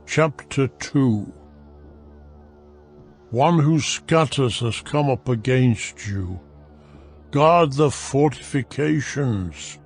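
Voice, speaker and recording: A man reads scripture as a dramatized narration, with music behind him. His delivery is extremely slow and solemn, every phrase set out deliberately and declaimed with weight. His voice sits at an ordinary middle male pitch. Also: a little mellow, husky. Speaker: narrator of a dramatized audio Bible.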